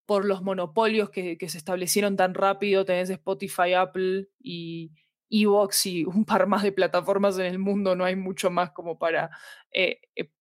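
A woman's voice: mid-range voice, flat delivery, moderate pace, dry recording, studio quality. A woman with a Latin American Spanish accent, talking reflectively and at length as a guest in a casual interview. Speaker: interview guest, audio fiction expert.

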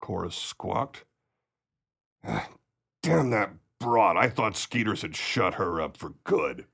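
An actor playing a cornered, blustering 1930s gangster boss in a pulp crime radio play. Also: male voice, low voice, lively intonation, takes it slowly, creaky, a bit bright, husky, exaggerated voice. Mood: bitter. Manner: stammering, blustering. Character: cornered male gangster boss posing as respectable.

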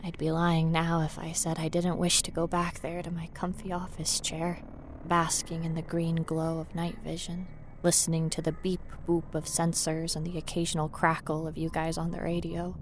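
A woman's voice: low voice, completely flat delivery, normal speed. A woman playing a nervous, wry paranormal investigator in an acted first-person horror audio drama, talking in a conversational, self-aware way. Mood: reflective, uneasy. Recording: noisy ambient sound bed behind voice.

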